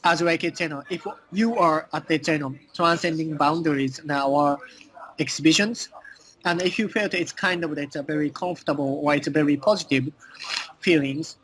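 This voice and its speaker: A man with a Japanese accent answering as an interview guest, speaking halting non-native English full of filler phrases. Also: fairly high pitch, fairly even intonation, a bit bright, rough recording. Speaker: interview guest, art collective communications director.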